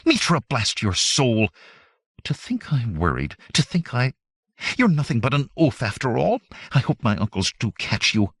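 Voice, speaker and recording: A man's voice: medium pitch, sing-song, moderate pace, husky, no room sound. A man voicing a hot-tempered, indignant young woman thief in an audiobook, sputtering and scornful. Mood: furious.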